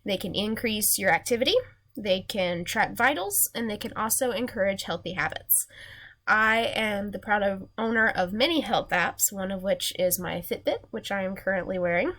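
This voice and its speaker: A woman's voice: medium pitch, moderate pace, fairly bright tone. A young woman with a nasal voice, just over a sinus infection, earnestly giving a recorded class presentation from her slides. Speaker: student presenter.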